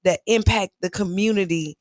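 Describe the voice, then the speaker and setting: A woman co-hosting a morning show, her voice hoarse and raspy, chatting casually and telling stories. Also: mid-range voice, normal speed, no room sound. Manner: chatty, storytelling, laughs easily. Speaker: radio show co-host.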